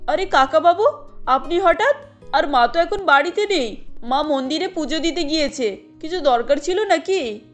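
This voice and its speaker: A woman's voice: high-pitched, expressive, normal speed, soft background music. A woman voicing a loyal, outspoken young daughter-in-law in a family cartoon, polite but firm. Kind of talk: cartoon voice acting.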